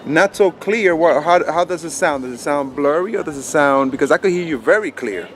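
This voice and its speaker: A man with a voice a touch higher than average talks like a casual video reviewer. He explains things in an easygoing way, often repeating himself as he shows how something works. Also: lively intonation, fairly quick, background noise. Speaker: gadget reviewer. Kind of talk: casual hands-on review chat.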